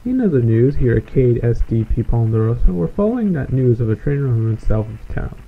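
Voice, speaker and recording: A man's voice: mid-range voice, very animated, brisk, vocal fry. A man playing a composed small-town TV news anchor, giving a formal, matter-of-fact scripted report. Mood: composed, serious. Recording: muffled lo-fi VHS news tape.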